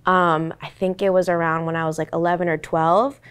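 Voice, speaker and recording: Young woman actor, first language korean, talks earnest and reflective, lots of likes, lowish smooth voice. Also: little pitch movement, fairly quick. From United States. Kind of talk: candid panel talk.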